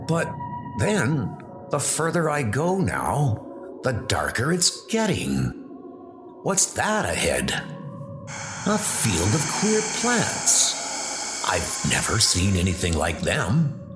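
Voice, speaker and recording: A man's voice: mid-range voice, wildly swooping pitch, takes it slowly, music in the background, pitched above the performer's own voice. A man voicing a wounded male scientist, weak from blood loss, telling his story in an earnest, wondering way. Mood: urgent. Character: wounded male scientist, shaken but determined.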